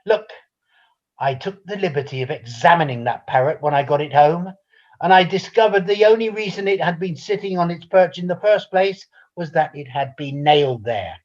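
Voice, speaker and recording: A woman's voice: lowish voice, normal speed, a little mellow, close to an everyday voice. A woman playing an indignant, exasperated pet-shop customer in an amateur radio comedy, complaining insistently with pompous formality. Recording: dull, band-limited recording.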